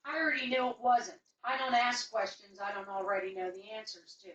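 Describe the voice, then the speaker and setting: Indignant lady complaining on a call, sharp bright voice, bit far from the mic in an echoey room. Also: on the high side, steady tone, brisk. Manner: indignant, insistent, emphatic. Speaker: customer making a phone complaint. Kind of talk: recounting a complaint on a phone call. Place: indoors in a room, away from the mic. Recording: roomy, distant recorded phone call.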